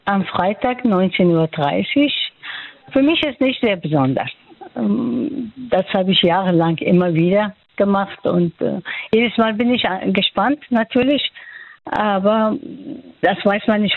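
A woman's voice: medium pitch, lively intonation, no echo. Older woman, Afghan accent, muffled sound. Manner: halting, searching for words. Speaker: doctor, interviewee. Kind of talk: spontaneous interview answers.

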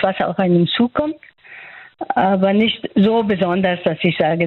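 A woman's voice: mid-range voice, vocal fry, no room sound. A woman in her sixties from Afghanistan, a doctor giving a radio interview and speaking hesitantly with many fillers and restarts. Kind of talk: radio interview over the phone. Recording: tinny phone line.

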